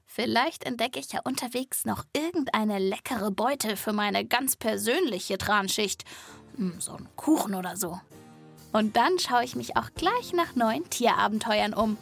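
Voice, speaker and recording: A woman narrates a children's animal podcast in a lively, playful way, speaking straight to young listeners. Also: a little high, measured pace, vocal fry, slightly rough, no echo, soft background music.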